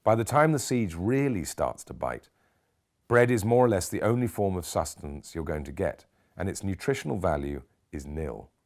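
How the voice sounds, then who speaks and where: A man's voice: low voice, sing-song, moderate pace, darkish tone. A man with a British accent voicing a middle-aged academic lecturing in an emphatic, rhetorical way for an audiobook. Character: forty-three-year-old academic man lecturing, theatrical and wry.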